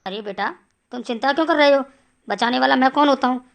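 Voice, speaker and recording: A woman voicing a calm, fatherly male holy man who speaks solemnly in an animated horror story. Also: high-pitched, fast talker, creaky.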